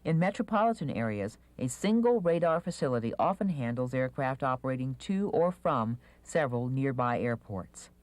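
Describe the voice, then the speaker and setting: A narrator reading a scripted instructional piece in a measured, clearly enunciated, explanatory way. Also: medium pitch, lively intonation, a little mellow, no room sound. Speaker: training video narrator.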